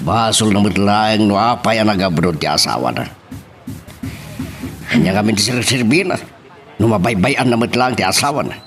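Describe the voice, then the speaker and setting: A man's voice: fairly low pitch, expressive, moderate pace, pauses often, fairly bright tone, faint music behind. A man with an Ilocano accent playing a stern, exasperated father scolding his grown son in an emphatic way. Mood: grumbling. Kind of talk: Ilocano radio-style drama acting.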